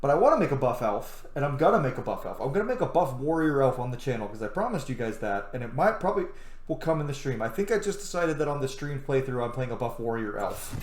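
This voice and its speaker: A man's voice: medium pitch, big pitch swings, fast, reverberant, a little hiss or hum. A man giving casual, rambling, opinionated gameplay commentary as a streamer, swearing freely. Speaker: streamer playing a video game.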